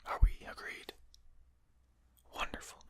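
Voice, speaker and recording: A performer voicing a courteous, genteel vampire in a whispered ASMR roleplay, speaking formally and deliberately in an unvoiced, breathy whisper. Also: close to an everyday voice. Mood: reasonable, explaining. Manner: formal, polite, deliberate phrasing. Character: courteous, genteel vampire host lecturing a captured hunter.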